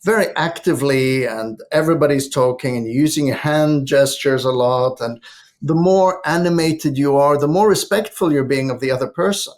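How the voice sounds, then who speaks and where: A man from Lebanon, a guest author in an interview, telling a humorous anecdote in a lively way. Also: medium pitch. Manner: lively storytelling. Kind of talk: interview conversation.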